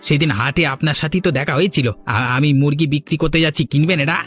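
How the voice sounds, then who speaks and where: Man playing sly village rogue, rapid speech, theatrical, wheedling, cartoon storytelling, faint music. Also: a little high, a bit bright, close to an everyday voice. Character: sly, cheeky village chicken thief, a married man. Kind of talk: animated folk-tale cartoon acting.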